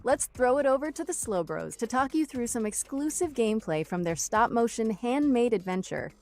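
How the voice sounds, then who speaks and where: A woman's voice: fairly high pitch, animated, normal speed, darkish tone. A woman presenting a showcase from a script.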